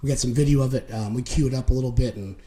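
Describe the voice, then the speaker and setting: Fast-talking, slightly hoarse male host. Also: medium pitch, warm tone. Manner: chatty, informal. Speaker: show host. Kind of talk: casual live talk show.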